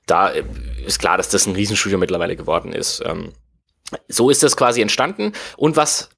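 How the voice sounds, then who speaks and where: A man's voice: low-pitched, big pitch swings, fairly quick, vocal fry, fairly bright tone, slightly rough. A man, explaining at length in a casual podcast chat, full of fillers and hedges. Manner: rambling, many fillers and hedges. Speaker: guest explaining game industry history.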